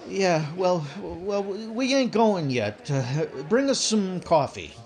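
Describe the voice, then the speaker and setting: A brash young working-class fellow from a radio comedy, acted by a man. He blusters in folksy slang, his pitch leaping up and down with great liveliness. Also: very animated, slow pace, a little mellow, soft background music, heavily acted. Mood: flustered. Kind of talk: old-time radio comedy acting.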